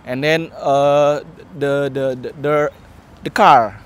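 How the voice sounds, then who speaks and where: A man from Indonesia with an Indonesian accent, answering casually in halting English and often repeating words. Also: mid-range voice, fairly even intonation. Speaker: interviewee. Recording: clear outdoor traffic noise.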